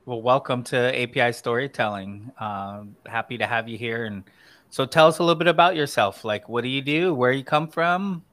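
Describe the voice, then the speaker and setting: A man's voice: mid-range voice, normal speed, a bit bright, dry recording. A man hosts a casual weekly chat over a video call, his voice dry and close. He sounds upbeat and welcoming as he brings people in and asks questions. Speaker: host.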